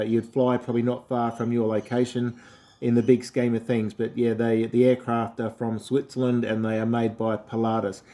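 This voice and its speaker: A man's voice: medium pitch, a little mellow. An Australian man from South Australia, an amateur radio operator chatting easily through a ham radio contact, with many fillers.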